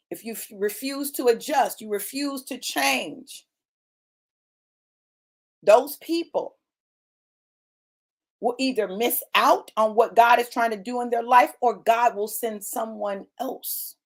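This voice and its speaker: A woman's voice: fairly high pitch, takes it slowly, pauses often. Emphatic woman preacher in her fifties. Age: 50s. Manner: emphatic, repeats phrases, calls listeners y'all and baby. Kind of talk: motivational sermon.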